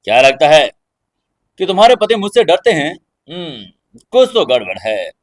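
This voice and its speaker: A cocky male hero acted by a narrator in an audio story, speaking a little high. He is taunting, proud and calm, sure he will win. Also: moderate pace. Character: confident, cocky male fighter-healer challenger.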